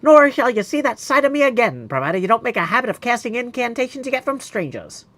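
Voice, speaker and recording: High, loud skeleton sparring dummy. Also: male voice, big pitch swings, quick pace, exaggerated voice. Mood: gruff, dismissive. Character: brash, gruff but good-natured skeleton sparring dummy. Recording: clear background noise.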